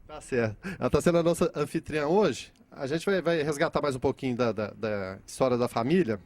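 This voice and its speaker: A man with a Brazilian accent hosting a conversational interview, addressing viewers and often repeating words haltingly. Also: medium pitch, a little mellow, no echo. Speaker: interviewer and host.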